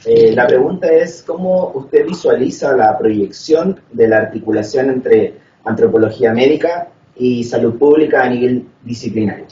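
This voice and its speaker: A man's voice: medium pitch, a little mellow, slight background noise. Man from Chile, methodical, echoey distant room. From northern Chile. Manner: measured, methodical, frequent "eh" fillers. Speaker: audience member asking a question. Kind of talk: conference Q&A question. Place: lecture hall. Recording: echoey, distant, dull lo-fi sound.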